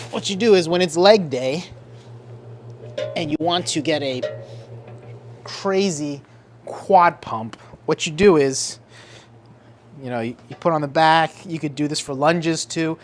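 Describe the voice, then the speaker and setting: A man's voice: fairly high pitch, unhurried, pauses often, slight background noise. A man from Central New Jersey with a New Jersey accent, chatting casually and upbeat to his viewers in a vlog. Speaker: vlogger.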